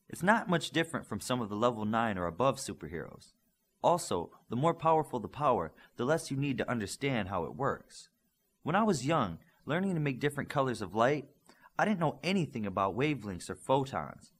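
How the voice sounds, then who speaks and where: A man's voice: mid-range voice, big pitch swings, fairly quick, husky. A man voicing a self-assured young female superhero who coaches and explains in an audiobook. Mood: explaining, confident.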